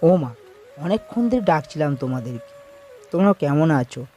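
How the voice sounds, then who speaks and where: Cartoon village son, male narrator acting: earnest, eager homecomer, fairly high male voice, music underneath. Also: animated, brisk, mellow, close to an everyday voice. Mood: searching, eager. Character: earnest village son home from city work.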